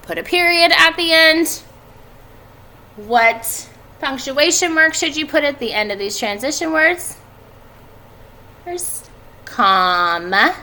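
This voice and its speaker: A woman in her thirties, a schoolteacher speaking to children in a deliberate teacherly way, recorded at home on a webcam. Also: fairly high pitch, sharp tone, slight background noise. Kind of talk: read-aloud and instructions for schoolchildren. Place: indoors at home by a fireplace. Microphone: computer webcam at desk distance.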